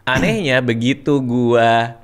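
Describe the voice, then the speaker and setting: A man in his forties hosting a casual chat, relaxed and slangy, repeating words for emphasis. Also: medium pitch, slow pace, smooth. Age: 40s. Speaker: host.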